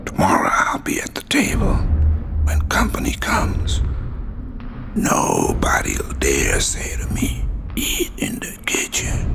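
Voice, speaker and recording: Proud poet, gravelly half-whisper, huge pitch swings, defiant dramatic verse recitation. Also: male voice, exaggerated voice. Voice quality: gravelly, breathy.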